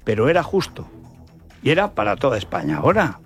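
A man's voice: mid-range voice, huge pitch swings, fairly quick. Older indignant Spanish man over music. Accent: Spanish from Spain. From Spain. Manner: indignant, colloquial, rhetorical questions. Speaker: radio commentator and host. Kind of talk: opinionated radio monologue.

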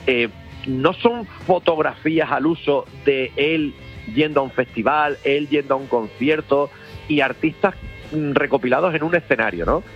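Man, festival organizer, eager and rambling, muffled radio sound with soft music under it. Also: fairly high pitch, moderate pace. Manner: enthusiastic, rambling with many restarts. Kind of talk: casual radio interview.

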